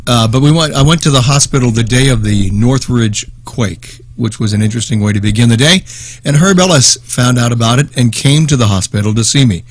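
A man's voice: medium pitch, a little fast. A man with an American accent, a radio host, giving a conversational tribute. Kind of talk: conversational reminiscence.

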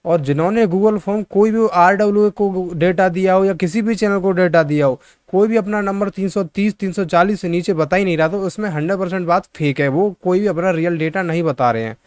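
Young man, clear higher voice. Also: a little fast, darkish tone, dry recording, studio quality. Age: 20s. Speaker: YouTube job-news presenter. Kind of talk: casual informal update to viewers. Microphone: close microphone in front of mouth.

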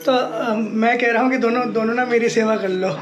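A man's voice: very high-pitched, fairly even intonation, normal speed, a crowd in the background. A man chatting and joking as he vlogs a family road trip, laughing often. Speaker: travel vlogger. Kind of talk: casual family travel vlog. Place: roadside stall, car and family home.

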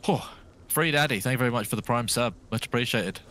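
A man's voice: medium pitch, fast talker, sharp tone, a little hiss or hum. A man from Britain co-hosting a game stream, politely reading out chat and thanking subscribers. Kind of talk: casual chat while gaming. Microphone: gaming headset.

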